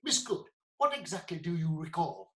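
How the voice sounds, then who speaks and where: A woman's voice: lowish voice, very animated, moderate pace. A woman playing a formal, persistent lawyer questioning witnesses in a comic courtroom play. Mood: probing. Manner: formal, inquisitive.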